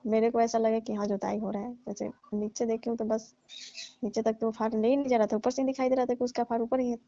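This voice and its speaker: A woman's voice: on the high side, little pitch movement, fast, mellow, no echo. A woman chatting casually and explaining herself in a comic phone conversation. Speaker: caller playing a farm owner. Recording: dull lo-fi phone call recording.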